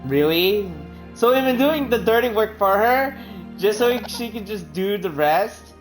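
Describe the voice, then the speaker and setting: A woman's voice: medium pitch, animated, unhurried, rough recording. A woman streaming a game, chatting casually, laughing often and swearing freely. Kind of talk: casual live game commentary. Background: game music.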